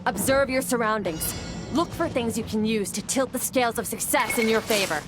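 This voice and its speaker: An actress playing a cool, aloof warrior master in a video game, composed, measured and matter-of-fact as a stern mentor. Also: female voice, on the high side, music in the background, natural voice. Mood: stern, urging. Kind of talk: video game character dialogue.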